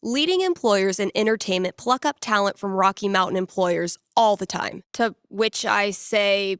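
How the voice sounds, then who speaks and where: A woman's voice: mid-range voice, normal speed, clear, resonant tone, dry recording, lightly acted. Wry young female DJ for a narrative game, voiced by an actress: casual, sardonic, playful, very dry close studio sound. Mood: playful sales pitch. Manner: sardonic, deadpan asides, playful mock grandeur. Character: wry young woman radio DJ and record store clerk. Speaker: radio DJ. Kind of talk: scripted game dialogue, casual radio patter.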